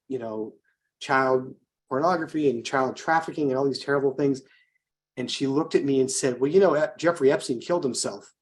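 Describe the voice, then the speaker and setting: An American man with a medium-pitched voice gives conversational political commentary as a co-host. He speaks anecdotally, putting questions to the listener and voicing both sides of imagined exchanges. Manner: anecdotal, poses rhetorical questions and acts out dialogue.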